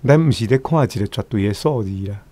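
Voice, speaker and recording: A man's voice: mid-range voice, expressive, fast, dark tone. An older man with a Taiwanese accent, giving informal commentary and often tagging his phrases with little particles. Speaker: commentator, church elder.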